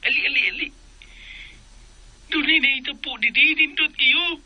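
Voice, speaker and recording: A man with a Cebuano Filipino accent acting an excitable, cowardly, joking sidekick in a radio drama, often repeating himself. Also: very high-pitched, normal speed, muffled recording, heavily acted. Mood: giddy, urgent.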